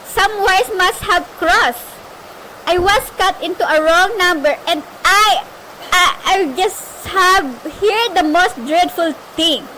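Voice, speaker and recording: A woman's voice: extremely high pitch, slow. A woman playing a frantic, imperious telephone caller in an amateur suspense radio play, melodramatic and insistent. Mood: anxious, urgent. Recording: noisy amateur recording with faint hiss.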